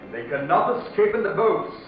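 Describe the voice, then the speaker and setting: A man's voice: sits high, sing-song, normal speed, heavy reverb, dull audio, a little hiss or hum. Man acting as ghost ship captain, solemn, theatrical, bitter, far-off, band-limited old radio sound with music underneath. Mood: bitter, grim. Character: ghostly sea captain, grave, bitter guardian of treasure. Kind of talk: old-time horror radio drama acting.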